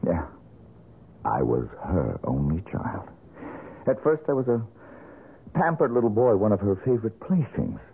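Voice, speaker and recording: An actor playing a bitter, brooding, tormented painter in 1970s radio drama style, sardonic and given to dramatic pauses. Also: male voice, fairly low pitch, big pitch swings, gravelly, slightly rough, full character voice. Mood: bitter reminiscence. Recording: narrow-band old radio recording, music.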